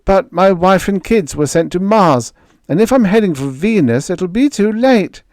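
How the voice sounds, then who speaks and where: A man's voice: high voice, lively intonation, normal speed, darkish tone, no echo, clean studio sound, voice pushed up. A man reading an audiobook, voicing an anxious, impatient family man on a spaceship who talks in an agitated, questioning way. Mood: distressed. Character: anxious, impatient husband and father stowed on a spaceship. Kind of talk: audiobook character voice.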